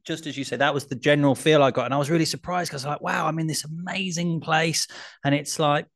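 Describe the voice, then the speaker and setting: A man on a casual podcast chat, animated and enthusiastic, telling a story with the odd self-deprecating laugh. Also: a little high, fast, gravelly. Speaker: podcast co-host. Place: traveling abroad, remote call.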